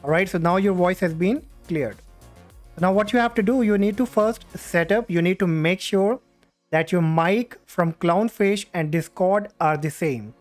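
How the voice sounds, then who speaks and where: A man hosting a step-by-step software tutorial, speaking into a USB condenser microphone. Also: high voice, measured pace. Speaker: tutorial host. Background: music.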